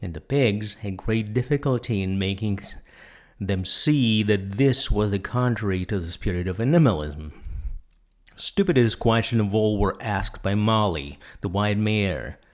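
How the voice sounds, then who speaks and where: Male audiobook narrator, fairly deep, delivering a dramatic, measured literary reading. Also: lively intonation.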